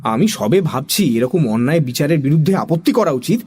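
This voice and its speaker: A woman's voice: low voice, big pitch swings, brisk, darkish tone, faint music behind. A woman narrating a scripted comic story for children as the storyteller.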